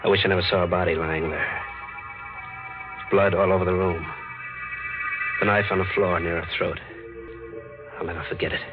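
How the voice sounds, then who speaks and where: A man's voice: low voice, level tone, moderate pace, long pauses, natural voice. An actor playing a haunted man grimly recounting a murder in a 1940s radio thriller. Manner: grim, brooding first-person narration. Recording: muffled vintage radio recording with music.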